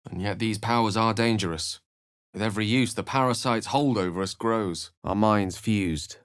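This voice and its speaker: A man's voice: low voice, no room sound, studio quality. A man voicing an eloquent, courtly wizard companion in a fantasy video game, speaking formally and theatrically. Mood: measured, cautionary. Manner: articulate, formal, theatrical phrasing. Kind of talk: fantasy video game voice acting, dramatic narration and dialogue.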